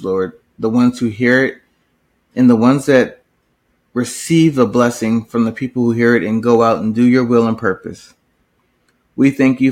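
A man hosting a faith podcast, praying in an earnest, steady cadence and introducing his guest. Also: lowish voice. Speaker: podcast host. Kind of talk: spoken prayer and show intro.

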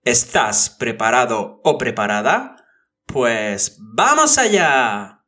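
A man from Andalusia with a Spanish accent from Spain, hosting a language-learning podcast and speaking in a clear, instructional way. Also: mid-range voice, big pitch swings, takes it slowly, pushed and bright, faint music behind. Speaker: podcast host and language teacher. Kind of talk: scripted podcast introduction and interviewing.

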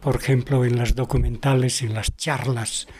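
A man's voice: medium pitch, fairly even intonation, moderate pace, darkish tone, husky. A man, talking reflectively as a researcher interviewed on a podcast. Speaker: interview guest, researcher and documentary maker.

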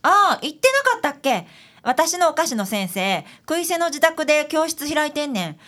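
Breezy, chatty woman assistant at a detective agency, played by an actress, Kansai accent, high and bouncy, radio drama. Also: sing-song, moderate pace, fairly bright tone. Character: cheerful, chatty female detective's assistant, teasing, sweets-loving.

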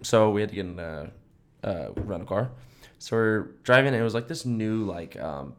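A young man with a slightly low voice co-hosts a podcast. He tells stories casually and slangily, acting out the people in them in an animated way. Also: moderate pace. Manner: animated slangy storyteller, acts out quotes. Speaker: podcast co-host. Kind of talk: casual chat, storytelling.

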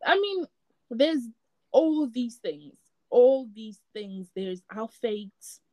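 Mellow-voiced woman, thoughtful. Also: a little high, expressive, very slow, no room sound, muffled recording. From South Africa. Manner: thoughtful, repeats words while searching for them. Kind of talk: casual reflective chat.

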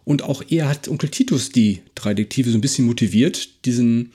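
A man's voice: mid-range voice, brisk, dark tone, husky. A man talking casually as a podcast co-host about an audio drama, with thoughtful, somewhat hesitant phrasing.

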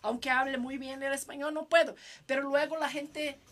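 A woman's voice: high-pitched, fairly even intonation, normal speed, a bit bright. A woman from Brazil with a Brazilian Portuguese accent, hosting a playful, joking casual chat. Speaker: host.

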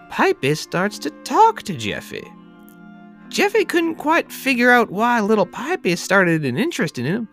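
A man playing a folksy, rustic yarn-spinner who tells a dark tale in a drawling, colloquial, theatrical way. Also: high-pitched, full character voice. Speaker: podcast host reading a tale. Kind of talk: theatrical dramatic storytelling.

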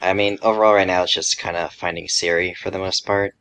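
A man's voice: deep voice, fairly even intonation, fast, a bit bright, clean tone. A man speaking as a podcast co-host in casual, informal conversation.

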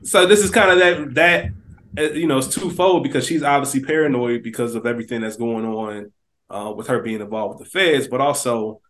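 A young man recaps a scene for his co-hosts, voicing the characters' inner thoughts with lively energy. His pitch sits in the middle range, and his manner is loose and conversational. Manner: animated storyteller, acts out characters' thoughts. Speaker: podcast co-host. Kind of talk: casual chat.

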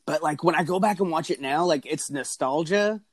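A man's voice: on the high side, moderate pace, breathy edge, no echo. Candid man with a strained voice. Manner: candid, storytelling, dry humor. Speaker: podcast co-host. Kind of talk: casual chat about wrestling.

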